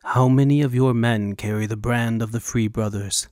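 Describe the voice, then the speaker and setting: A man's voice: mid-range voice, fairly even intonation, moderate pace, a little mellow, no room sound, clean studio sound, close to an everyday voice. A man voicing an imperious witch hunter in an audiobook, with a cold, menacing drawl. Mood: cold menace.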